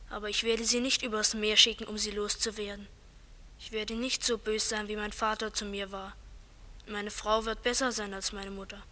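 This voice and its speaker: A woman's voice: mid-range voice, little pitch movement, normal speed, sharp tone, close to an everyday voice. A woman playing a hopeful, wistful young schoolboy in a radio play, earnest and musing.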